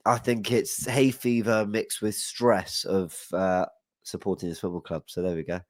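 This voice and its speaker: A man's voice: lowish voice, level tone, moderate pace, no room sound. A young man guesting on a football podcast over a video call, bunged up from hay fever, nervous and rambling, with lots of apologies. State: stuffy nose from hay fever. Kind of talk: casual football podcast chat. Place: home room, video call. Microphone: studio mic with headset.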